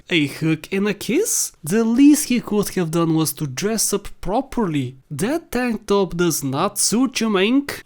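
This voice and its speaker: High-pitched man doing game commentary. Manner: informal, jokey, emphatic. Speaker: video host. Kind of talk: casual video game countdown commentary.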